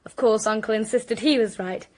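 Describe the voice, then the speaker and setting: High-pitched, anxious young British woman played by an actress. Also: normal speed, lightly acted. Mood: composed, wry. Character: anxious, articulate young niece recounting a crime. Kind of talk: British radio drama acting.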